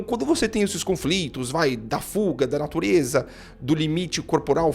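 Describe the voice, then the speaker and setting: Brazilian guy doing a chatty movie review, highish voice, bit of music faint behind him. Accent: Brazilian Portuguese. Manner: opinionated, repeats and restarts phrases while thinking aloud. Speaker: film critic. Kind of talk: casual spoken film review.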